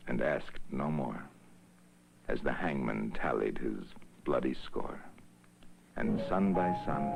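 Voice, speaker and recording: A man giving a slow, grave, measured dramatic poetry reading as narrator of an animated film. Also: very deep voice, gravelly, poor audio. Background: orchestral film score.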